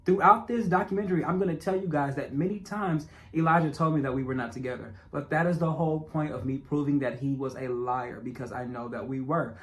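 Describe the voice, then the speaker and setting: A man's voice: a little high, fairly even intonation, brisk, few pauses. A man with a stutter and a speech impediment, narrating his own documentary as a casual, emphatic monologue aimed at his viewers, often repeating himself. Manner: repetitive, emphatic, talks straight to viewers. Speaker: self-made documentary narrator. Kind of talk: casual direct-address monologue.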